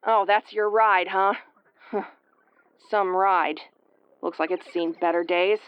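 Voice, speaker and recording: A woman's voice: mid-range voice, steady tone, takes it slowly, clear, resonant tone, muffled recording, lightly acted. A woman playing a tough, prickly but secretly caring tsundere starship commander in an intimate audio roleplay, brusque and prone to stammering when flustered. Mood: grudging, resigned.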